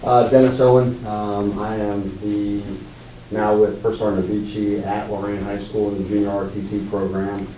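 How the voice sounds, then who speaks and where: A man's voice: on the low side, little pitch movement, moderate pace, warm tone. A middle-aged man from Pennsylvania giving a plain introduction of himself. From Greenville, Pennsylvania. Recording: echoey room, distant mic, faint noise.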